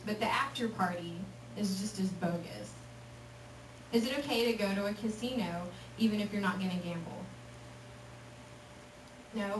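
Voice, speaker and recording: Lively woman from Texas addressing teens, distant mic in a noisy, echoey hall. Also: medium pitch. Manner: lively, joking, acts out voices. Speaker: youth speaker. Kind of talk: casual talk to a youth group.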